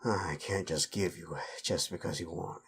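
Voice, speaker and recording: A man acting a gruff, cocky but protective werewolf in an intimate audio roleplay, with a gravelly, breathy murmur and blunt, coarse, halting speech. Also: sits low, a little hiss or hum. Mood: gruff, tender.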